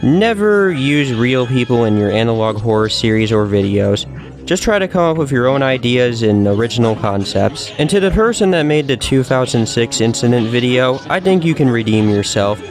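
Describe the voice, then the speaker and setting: A man's voice: medium pitch, animated, moderate pace. Earnest male video commentator over music. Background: background music.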